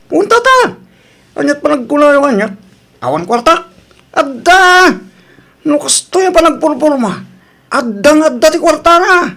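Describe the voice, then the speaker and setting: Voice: high-pitched, big pitch swings, measured pace, vocal fry, long pauses, sharp tone, full character voice. An actor voicing a whiny, pestering young relative in a radio comedy drama.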